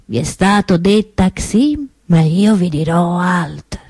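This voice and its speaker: A woman playing an outspoken, indignant preacher at Mass in a theatrical radio monologue, solemn and deliberate, with rhetorical pauses. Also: on the low side, lively intonation, a little mellow, slightly rough, no echo, studio quality, heavily acted. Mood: solemn, reflective.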